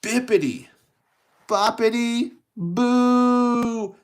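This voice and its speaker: A doting storybook fairy godmother, acted out by a man, lifted high above his usual pitch. She soothes and reassures, then chants her magic with sing-song glee. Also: extremely slow, exaggerated voice. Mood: gleeful casting.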